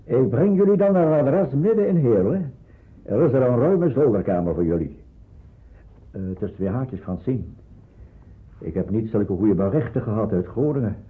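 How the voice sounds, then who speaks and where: A man's voice: mid-range voice, animated, moderate pace, pauses often, lightly acted. An actor playing a practical, cautious man who helps people in hiding, matter-of-fact and instructing, in a Dutch radio play. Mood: businesslike. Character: practical, cautious male resistance helper guiding refugees. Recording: muffled narrowband old radio play.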